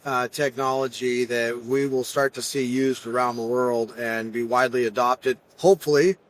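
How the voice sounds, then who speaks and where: A man's voice: mid-range voice, steady tone, normal speed. A man hosting a casual podcast interview, chatty and joking, with rambling questions. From Brazil. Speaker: podcast host. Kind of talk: casual interview at a trade show.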